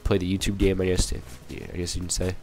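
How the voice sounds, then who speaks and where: A young man with a cold, hoarse and a little raspy, rambling through casual gameplay commentary with many fillers and restarts. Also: sits low, monotone, fast talker, darkish tone. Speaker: gaming channel commentator.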